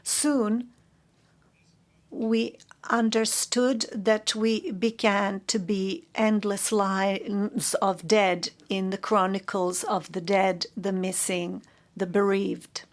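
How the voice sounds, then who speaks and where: A woman interpreting a formal courtroom statement into English, measured and halting, correcting herself as she goes. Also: mid-range voice, level tone.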